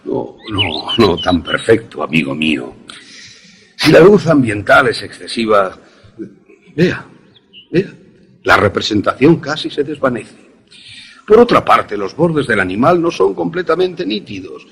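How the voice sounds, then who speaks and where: Older male professor for suspense radio drama, man acting: very husky, animated intonation, didactic, obsessive inventor. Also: mid-range voice, exaggerated voice. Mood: troubled, rueful. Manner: didactic, deliberate, emphatic explanations.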